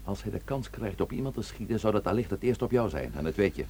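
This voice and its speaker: An actor playing a commanding, determined police chief with a terse, authoritative manner in a Dutch radio play. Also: male voice, lowish voice, expressive, quick pace, a little mellow, husky. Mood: low, guarded.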